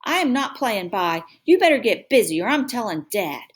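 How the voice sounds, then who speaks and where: A woman voicing an excitable, indignant young boy in a storybook, urgent and stubborn. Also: on the high side, normal speed. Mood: defiant.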